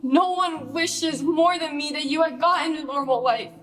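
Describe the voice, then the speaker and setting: A woman's voice: sits high, moderate pace, a bit bright. An actress playing a hurt, furious bride confronting her famous sister in a naturalistic radio play, scolding and pleading with emphatic profanity. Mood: wounded, bitter.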